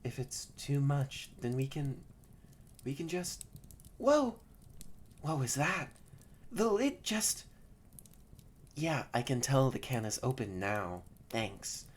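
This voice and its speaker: A woman voicing a haughty young male catboy who is secretly soft-hearted, bratty and bossy but easily flustered, in a scripted roleplay audio drama spoken to the listener. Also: rumbling low, big pitch swings, takes it slowly, long pauses, husky, exaggerated voice. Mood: surprised, grudgingly pleased.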